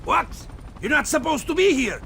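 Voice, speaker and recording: An actor voicing an aggressive Soviet soldier in a video game, shouting commands in a strained, gravelly voice. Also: male voice, very high voice, big pitch swings, normal speed, heavily acted. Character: aggressive, barking Soviet soldier, hostile and threatening. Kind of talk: video game cutscene acting. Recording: loud gunfire and action noise.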